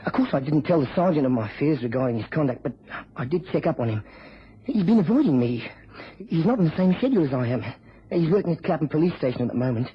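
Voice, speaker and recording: A man's voice: fairly high pitch, very animated, fast, slight background noise. An actor playing an anxious, earnest British policeman in a radio drama, apologetic and agitated. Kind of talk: mid-century radio drama acting. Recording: muffled old radio broadcast.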